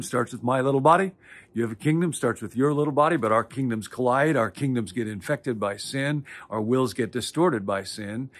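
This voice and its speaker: Earnest older man teaching outdoors. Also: mid-range voice. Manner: earnest, explanatory, with frequent filler sounds. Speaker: pastor and teacher. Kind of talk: teaching to camera. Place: outdoors in front of a leafy hedge. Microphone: camera at close range.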